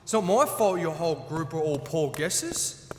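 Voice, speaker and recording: A man's voice: fairly high pitch, expressive, normal speed, roomy sound, long reverb tail, a little hiss or hum, lightly acted. An actor playing a smug, mocking gnome king villain in an audio drama, sly and flippant. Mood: smug, impatient. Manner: sly, mock-innocent, flippant.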